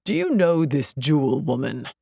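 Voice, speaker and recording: A woman voicing a surly, scornful male security guard in an audiobook, gruff, curt and sarcastic. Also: fairly high pitch, huge pitch swings, normal speed, warm tone, no echo, clean studio sound, heavily acted. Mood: stern, dismissive.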